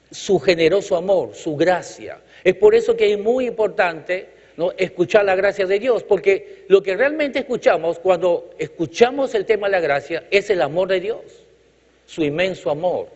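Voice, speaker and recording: Man preaching, from Chile, fairly high voice, rhythmic emphatic delivery, lo-fi hall recording. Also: normal speed, a little mellow. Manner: emphatic, rhythmic repetition, light jokes. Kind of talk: sermon with congregational call-outs. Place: church hall. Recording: reverberant hall, dull lo-fi sound.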